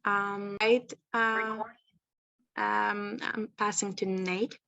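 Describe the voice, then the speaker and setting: A woman from Greece leads a presentation on a group video call. She speaks slowly and haltingly, feeling for her words. The sound is dry and somewhat muffled. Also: medium pitch, little pitch movement, gravelly, pushed and bright, no echo. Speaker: youth minister leading a presentation.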